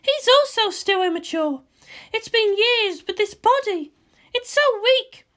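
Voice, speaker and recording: Guy doing a playful world-weary young woman officer, really high voice, emotional, fan fiction audiobook. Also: animated, a little slow, sharp tone, exaggerated voice. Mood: wistful, bitter. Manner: emotional, teasing. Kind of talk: dramatised fan fiction reading.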